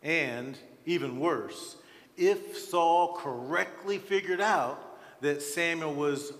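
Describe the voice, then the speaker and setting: A man in his sixties preaching a sermon as a pastor, with deliberate, emphatic phrasing and frequent pauses, in a church. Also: a little high, a bit bright. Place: large church sanctuary, at the pulpit. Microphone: headset microphone. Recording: reverberant church hall.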